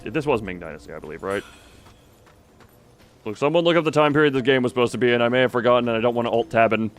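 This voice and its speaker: A man's voice: mid-range voice, fast. A man giving casual gameplay commentary to a live chat, rambling and thinking aloud as he plays. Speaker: video game streamer.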